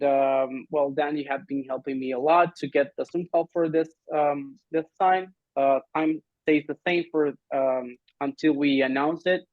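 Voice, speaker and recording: A man's voice: medium pitch, no room sound. Middle-aged man on a muffled, tinny call. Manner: halting, hedging, loosely phrased. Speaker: grant-funded working group administrator. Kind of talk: informal meeting update. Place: indoor room, video call. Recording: muffled video-call audio.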